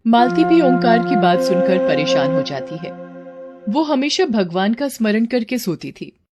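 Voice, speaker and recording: A woman's voice: a little high, big pitch swings, unhurried, a little mellow. A woman giving scripted narration of a moral story as the storyteller. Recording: prominent music under the voice.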